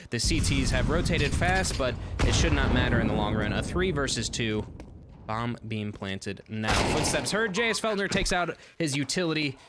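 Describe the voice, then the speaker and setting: A man's voice: mid-range voice, heavy background noise. A man with a Midwestern accent casting an esports match, calling the play and joking with chat. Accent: Midwestern American. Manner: loose, joking, talks back to chat. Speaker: esports caster. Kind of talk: play-by-play commentary mixed with chat replies.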